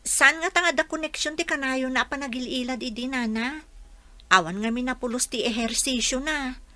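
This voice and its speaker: A woman plays an earnest young village woman in a radio play. Her voice is high and bright, and she speaks with concern. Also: moderate pace. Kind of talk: radio drama dialogue.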